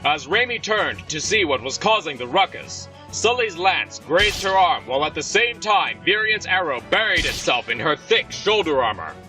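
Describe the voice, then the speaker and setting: A man reading scripted audio drama narration in a dramatic, expressive storytelling manner. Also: a little high, moderate pace, few pauses, far from the mic, poor audio. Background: music.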